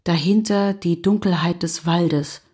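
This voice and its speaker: Radio play: uneasy lone female wanderer, hushed, very breathy, slow and weary. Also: on the low side, steady tone. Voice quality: breathy, husky, half-whispered. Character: weary, uneasy woman wandering alone in a forest. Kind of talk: German radio play, close inner narration.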